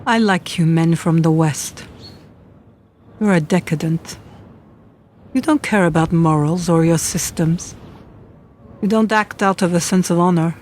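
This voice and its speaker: A woman playing a world-weary, seductive Eastern European spy in a radio play, speaking slowly and deliberately over background noise. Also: fairly low pitch, a little mellow, close to an everyday voice. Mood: intimate, seductive.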